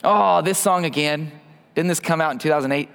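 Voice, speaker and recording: A man's voice: a little high, fairly quick, creaky, fairly bright tone, clear, resonant tone, big-room sound. A man in his thirties giving an informal sermon and prayer with a mic on, casual and self-deprecating, often restarting his sentences. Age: 30s. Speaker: preacher. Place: church hall. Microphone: wearing a microphone.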